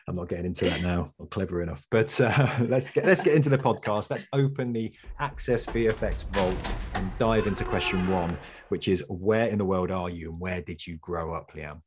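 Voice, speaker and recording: A man's voice: low-pitched, moderate pace, husky. A man hosting a podcast interview, rambling and affirming in casual chat. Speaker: podcast host.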